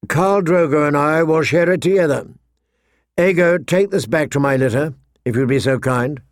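A man narrating a fantasy audiobook, voicing a young princess who is courteous, formal and quietly commanding. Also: fairly high pitch, normal speed, no room sound, clean studio sound, close to an everyday voice. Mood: gracious, composed. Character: young princess, gracious, proud and softly commanding.

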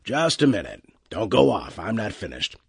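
A man acts out a callous male spaceship captain from a science fiction audiobook. He is blunt and dismissive, with animated pitch and a slightly husky tone in a dry recording. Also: normal speed, dry recording, heavily acted. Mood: brash, impatient.

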